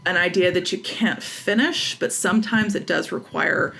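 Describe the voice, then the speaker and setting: A woman's voice: fairly low pitch, normal speed, gravelly, bright, forceful tone, poor audio. An American woman talking casually and emphatically as an interview guest, giving opinions and repeating phrases for stress. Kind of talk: casual, opinionated creative talk.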